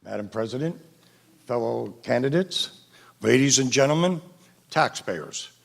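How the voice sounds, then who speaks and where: A man, a city council candidate, giving a formal, deliberate opening speech to the room. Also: medium pitch, expressive, gravelly. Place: council chamber debate.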